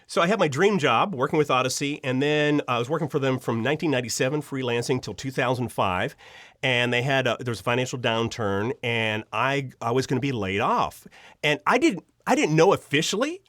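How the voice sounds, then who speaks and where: Male interview guest, casual storyteller, lively swooping intonation, animated delivery, dry sound. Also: medium pitch, a little fast, dry recording. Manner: animated, acts out reactions and dialogue. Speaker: interview guest, audio drama writer and producer.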